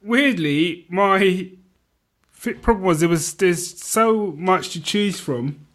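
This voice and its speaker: A man's voice: high-pitched, slow, fairly bright tone. A man chatting casually as a podcast co-host in an informal, easygoing, agreeable way.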